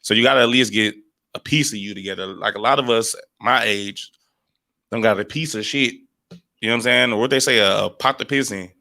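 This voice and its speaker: A man in his late twenties talks in loose, blunt, profane sentences. His voice has a bright, forward edge. He sounds laid-back as he reasons things out on a podcast. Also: lowish voice, a little fast. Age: 20s. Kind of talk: casual chat.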